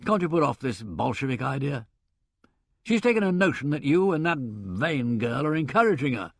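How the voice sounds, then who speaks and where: A man voicing a blustering, boorish middle-aged country squire, hearty and loud in manner. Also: medium pitch, very animated, moderate pace, no echo, clean studio sound.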